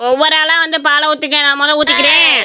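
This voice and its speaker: A woman voicing a cheeky, scheming village boy in an animated comedy, with wheedling rural Tamil Nadu speech. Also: high-pitched, fast, music in the background, lightly acted. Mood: cheeky, stubborn. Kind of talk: animated comedy story voice acting.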